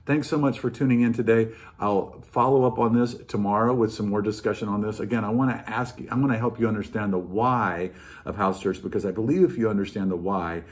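A man's voice: fairly low pitch, fairly even intonation, brisk. The sort of earnest, reflective American man in his sixties you would hear as a pastor recording an informal devotional from his living room for his congregation. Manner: earnest, reflective, emphatic repetition. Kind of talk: informal devotional talk to camera. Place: living room at home, indoors.

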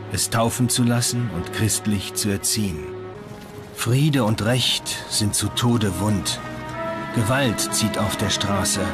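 An actor playing a sober, reflective medieval crusader knight who recounts his campaigns gravely in a radio documentary. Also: on the low side. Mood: sober recollection, then solemn, mournful. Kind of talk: dramatized first-person memoir in a radio documentary. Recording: loud music under the voice.

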